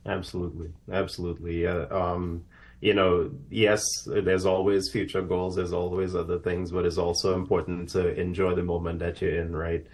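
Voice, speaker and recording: Low, gravelly man from Trinidad. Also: little pitch movement, normal speed. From Trinidad and Tobago. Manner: earnest, reflective, many fillers. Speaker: aerospace professional guest. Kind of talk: live TV interview answers.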